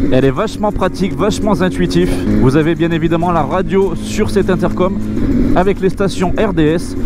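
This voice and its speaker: A man giving an informal, enthusiastic product review to his viewers as a motorcycle vlogger. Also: on the high side. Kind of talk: casual product review voice-over. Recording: loud music behind the voice. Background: loud music.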